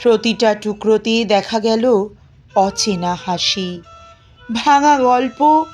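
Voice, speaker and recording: Slow, plaintive woman reciting poetry over music. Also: mid-range voice. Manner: plaintive, emotive, with drawn-out pauses. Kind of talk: expressive dramatic poetry recitation.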